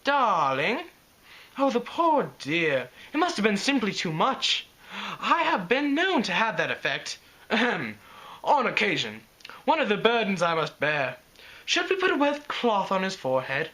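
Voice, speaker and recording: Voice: mid-range voice, huge pitch swings, unhurried, bright, forceful tone, voice pushed up, full character voice, low-quality recording. A reader voicing a dramatic, vain young unicorn mare who speaks in a grand, self-important way. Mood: fussing.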